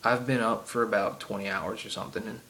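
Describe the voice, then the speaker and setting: A man's voice: lowish voice, steady tone, quick pace, sharp tone, slight background noise. A man with a heavy Southern accent rambling through a casual game rant, full of ums and side tangents. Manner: chatty, many ums and asides. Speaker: gaming vlogger. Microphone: iPod camera.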